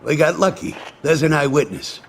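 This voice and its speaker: A man's voice: fairly high pitch, expressive, normal speed, gravelly, slight background noise. An actor playing a blunt, clipped, exasperated police superior briefing his detectives in a noir game. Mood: businesslike, grim. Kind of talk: noir game cutscene dialogue.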